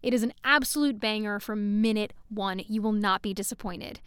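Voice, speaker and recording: Woman host hyping another show, chatty w little fillers, smooth voice, dry. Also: medium pitch, normal speed, a bit bright, no echo. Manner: enthusiastic, chatty with small fillers. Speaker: podcast host. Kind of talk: casual promo introduction.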